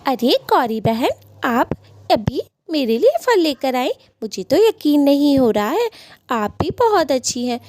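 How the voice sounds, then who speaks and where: Adoring, trusting cartoon bird wife, played by a woman: raised pitch, soft mellow tone, gentle. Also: big pitch swings, unhurried, no echo. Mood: grateful, surprised. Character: sweet, affectionate, trusting little female bird wife. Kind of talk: Hindi cartoon voice acting.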